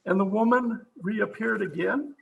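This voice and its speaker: Man acting a gravelly, high-pitched, brusque police captain. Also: a little slow, highs cut off, slight background noise, heavily acted. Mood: skeptical, impatient. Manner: brusque, demanding. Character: skeptical, brusque police captain questioning a witness. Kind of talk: old-time radio mystery acting. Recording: home video-call recording.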